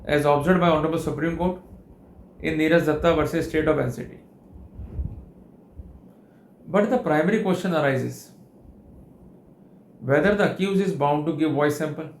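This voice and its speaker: A man with an Indian accent giving a formal instructional lecture on his own, in deliberate, measured phrases with short pauses. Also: on the high side, a little hiss or hum. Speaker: legal educator presenting a lecture.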